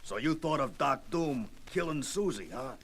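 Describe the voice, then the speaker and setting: A man playing a gruff, brawny rock-skinned monster hero in a comic-book audio drama, with gravelly, slangy, blustering tough-guy talk. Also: medium pitch, animated, moderate pace, a bit bright. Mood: wisecracking.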